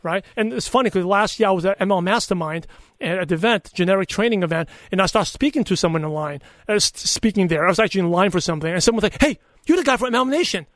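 Man, high-pitched, Brooklyn and Chinese accent, lisp, dry close sound, repeats phrases for emphasis. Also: expressive, quick pace, a bit bright, no echo. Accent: Brooklyn mixed with Chinese. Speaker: podcaster and coach, interview guest. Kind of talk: conversational interview answers with personal anecdotes.

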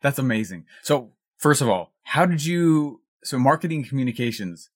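A man's voice: mid-range voice, expressive, normal speed, husky. A man hosting a podcast, delivering upbeat intros and casual interview talk.

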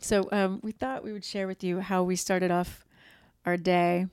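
Thirties woman, slightly raspy, under the weather, animated and giggly co-host; dry, close sound. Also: on the low side, unhurried, no echo. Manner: laughs often, animated storytelling. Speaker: podcast co-host. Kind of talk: casual chat.

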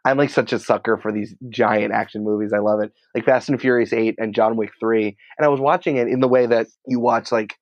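A man talking casually as an interview guest, in an excitable, rambling, informal way peppered with filler words. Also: lowish voice, fairly quick, hardly any pauses, dry recording, lo-fi sound. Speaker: podcast writer and producer, interview guest.

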